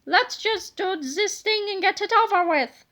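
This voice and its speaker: A woman's voice: extremely high pitch, a little slow, pushed and bright, voice pushed up, heavily acted. A woman voicing a whiny, indignant younger boy sidekick in a children's storybook. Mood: grudging. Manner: whiny, protesting.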